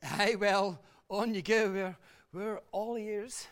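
Casting: boozy Scottish family patriarch, middle-aged, brash, blustering, irreverent, for comedy drama. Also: male voice, high voice, takes it slowly, fairly bright tone, clear, resonant tone. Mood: scornful. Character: brash, hard-drinking father of a reality TV family.

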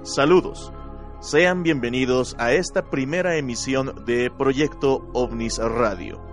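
A man hosting a radio program, introducing its segments in a formal, measured announcing style. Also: medium pitch, music in the background. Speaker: radio show host.

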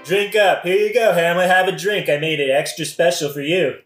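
A man's voice: high-pitched, steady tone, brisk, fairly bright tone, reverberant, soft background music. A man playing a smug, boastful, scheming king in a modern comic audioplay, with a glib, bragging manner. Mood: falsely genial.

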